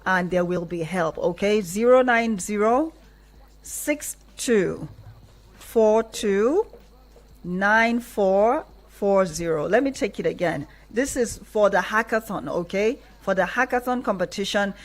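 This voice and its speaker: A woman's voice: medium pitch, animated, takes it slowly. A woman with a Nigerian accent hosting a live radio programme from a studio, talking to guests and listeners. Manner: affirming interjections while guests talk. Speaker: radio host.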